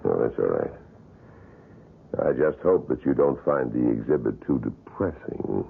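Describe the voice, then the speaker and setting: An actor playing a grim male wax museum guide who tells the story in a measured, ominous way in a 1970s radio drama. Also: extremely low pitch, expressive, creaky, slightly rough, full character voice. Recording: tinny lo-fi radio broadcast.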